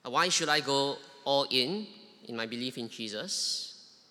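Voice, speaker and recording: A man's voice: medium pitch, animated, measured pace, bright, forceful tone, big-room sound. A man from Singapore with a Singaporean accent preaching a sermon, with frequent "uh" fillers and emphatic repeated questions.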